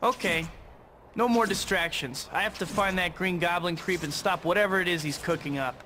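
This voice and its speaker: An actor playing a young, earnest and cocky superhero in a video game, quippy and often talking to himself. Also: male voice, high-pitched, moderate pace, over music, close to an everyday voice. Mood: worried resolve. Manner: quippy, boyish, self-talking. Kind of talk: video game hero acting.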